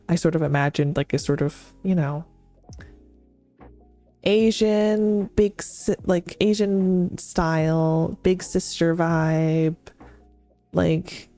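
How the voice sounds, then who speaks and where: A young adult woman streaming as a VTuber, talking in a chatty, rambling way with many fillers and restarts. Her voice is fairly deep. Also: mellow. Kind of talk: casual chat stream. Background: faint background music.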